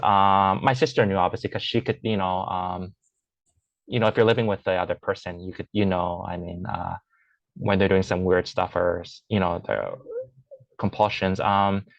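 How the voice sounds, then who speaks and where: A lower-pitched young man speaks haltingly, full of fillers and restarts. His voice sounds a little muffled and dull, recorded dry with no room sound. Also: steady tone, creaky, dry recording. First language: English; Chinese is his second language. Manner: hesitant, many fillers and restarts. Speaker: interview guest. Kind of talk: casual personal conversation.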